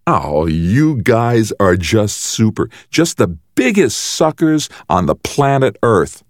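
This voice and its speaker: A man's voice: fairly low pitch, sing-song, slow pace, no echo, clean studio sound, pitched above the performer's own voice. A man voicing a cruel, mocking older brother, a menacing and theatrical boy bully in an audiobook. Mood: gleeful mockery. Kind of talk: audiobook character voice.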